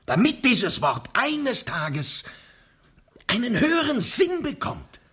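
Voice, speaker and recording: A man's voice: very high-pitched, huge pitch swings, takes it slowly, sharp tone, slightly rough, full character voice. A man playing a fanatical, embittered revolutionary in a radio play, declaiming in an impassioned, hectoring way. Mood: defiant, cold. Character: fanatical, embittered male revolutionary, fierce and unyielding. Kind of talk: dramatic radio play acting.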